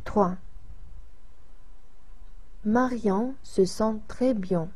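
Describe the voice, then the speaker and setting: A woman narrating a language lesson, reading instructions and exercises slowly and evenly. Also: medium pitch, a little mellow, breathy edge.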